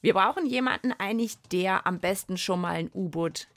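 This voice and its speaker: Woman, medium pitch, kids' science show host, conversational explaining. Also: a little fast. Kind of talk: lively educational co-host chat.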